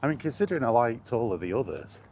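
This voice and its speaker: A man chatting casually and solo as a podcast host while out walking, in a halting, understated way with pauses and hesitations. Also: medium pitch. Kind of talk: casual rambling chat recorded while out walking. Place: outdoors, walking to and in a park. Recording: steady background noise, outdoor ambience.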